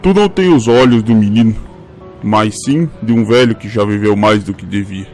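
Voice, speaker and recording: A man's voice: fairly low pitch, normal speed, darkish tone, soft background music, natural voice. A man playing a half-mad old travelling merchant in an audio drama, blunt and folksy, with rustic Brazilian speech. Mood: cryptic.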